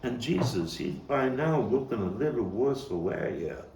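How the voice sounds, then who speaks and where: Guy playing a streetwise brooklyn baseball nut doing a theatre monologue, gravelly and dramatic, roomy distant mic. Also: mid-range voice, big pitch swings, slight background noise. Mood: dramatic storytelling. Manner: theatrical, deliberate pauses, swinging from hushed to shouted. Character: streetwise nostalgic Brooklyn man, animated storytelling baseball fan. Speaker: actor and playwright performing his own monologue. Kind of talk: dramatic stage monologue at a table read.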